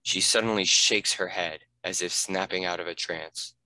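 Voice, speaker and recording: A man's voice: low voice, fairly even intonation, normal speed, pushed and bright, dry recording, poor audio. A man giving scripted narration, reading out the stage directions of a screenplay.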